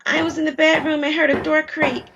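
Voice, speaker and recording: Casting: scared young wife , played by a woman, higher-pitched, anxious, emphatic, audio drama. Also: little pitch movement, brisk, dull audio, noisy background. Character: Shelby, frightened young wife alone at home.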